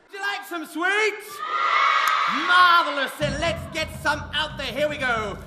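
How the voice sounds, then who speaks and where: A man acting as a loud, silly pantomime performer on stage, playing to the crowd. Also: very high voice, unhurried, heavy background noise. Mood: showy, jolly. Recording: echoey theatre, loud music and crowd.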